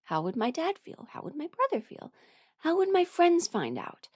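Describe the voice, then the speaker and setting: A young adult woman talking frankly and haltingly in a candid personal ramble, often quoting her own thoughts as she goes. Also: sits high, wildly swooping pitch, a little mellow, dry recording, studio quality. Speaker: voice performer sharing a personal ramble.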